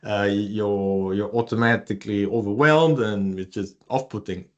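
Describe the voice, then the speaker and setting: A man's voice: on the low side, moderate pace, lo-fi sound. A man from Italy with an Italian accent, presenting an online workshop and chatting with the audience, with many fillers and restarts. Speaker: research communication presenter.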